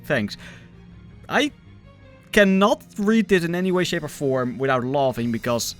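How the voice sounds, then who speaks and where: A man's voice: on the high side, unhurried. A young man from the Netherlands with a Dutch accent, giving casual, enthusiastic and self-deprecating gaming commentary as a video host. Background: music.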